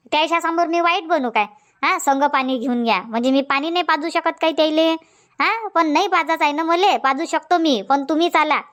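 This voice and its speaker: A woman's voice: high voice, a bit bright. A woman voicing a nagging middle-aged village farm wife in a comic cartoon, scolding. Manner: scolding, insistent, long rants. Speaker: cartoon voice actor.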